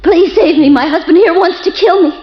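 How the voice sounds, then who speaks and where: A frightened young wife. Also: female voice, very high voice, fairly quick. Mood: pleading. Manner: anxious, pleading. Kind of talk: 1940s radio acting. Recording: dull old radio recording with faint music.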